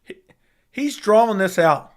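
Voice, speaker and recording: A young man talks straight to his stream viewers in a voice worn hoarse, sitting a touch higher than most men's. He gets worked up fast and swears freely, jumping between griping and excitement as he reacts to what he reads. It sounds like a casual home livestream on a desk mic. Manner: excitable, profane, reacting to news live. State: losing his voice. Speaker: MMA YouTube host.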